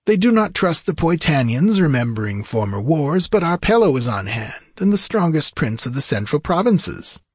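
A man's voice: fairly high pitch, sing-song, normal speed, few pauses, breathy edge, no room sound, studio quality, voice pushed up. A man voicing a sly, world-weary, pleasure-loving sorcerer with an eloquent, theatrical manner in a fantasy audiobook. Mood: knowing, explaining.

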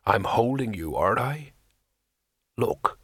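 A man voicing a huge sea troll in an audiobook story, speaking slowly and heavily, weary and menacing. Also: medium pitch, expressive, fairly bright tone, slightly rough, dry recording, clean studio sound, full character voice. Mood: calm menace. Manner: slow, deliberate, heavy delivery.

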